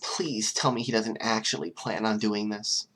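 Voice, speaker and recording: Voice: mid-range voice, fairly even intonation, normal speed, fairly bright tone, breathy edge, pitched above the performer's own voice. A reader voicing a worried, clever principal on a phone call, speaking with urgent, pleading insistence.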